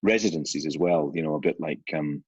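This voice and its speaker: A middle-aged man with a Scottish accent, a guest on an interview, talking in a halting way with fillers, restarts and dry humor. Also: a bass voice, darkish tone, husky, no echo, muffled recording. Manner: hesitant, many restarts and fillers, wry humor. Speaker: guest author. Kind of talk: casual interview chat.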